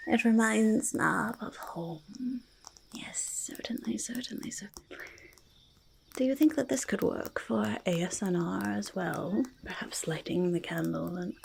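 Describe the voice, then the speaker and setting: Woman as a creaky, whispering quirky goblin. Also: mid-range voice, a little mellow, a little hiss or hum. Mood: curious, pleased. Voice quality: breathy half-whisper with creaky fry. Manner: halting, repeats words, third-person quaint phrasing. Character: quirky, treasure-loving, mildly menacing forest goblin, speaking in third person. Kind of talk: soft-spoken ASMR roleplay with whispering.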